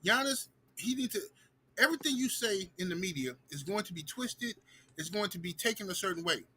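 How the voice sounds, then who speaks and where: A man's voice: high-pitched, expressive, brisk, pushed and bright, breathy edge. A man giving his opinions on a sports stream, emphatic and calling people out directly.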